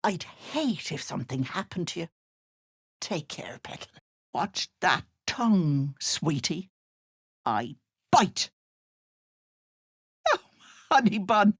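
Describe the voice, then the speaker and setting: A woman's voice: lowish voice, big pitch swings, slow pace, long pauses, breathy edge, no echo, clean studio sound, full character voice. An actress voicing an older, scheming auntie witch in a video game, with a honeyed, mocking way of talking. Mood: sweet, coaxing. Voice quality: honeyed, mocking, sly endearments. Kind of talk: game voice lines.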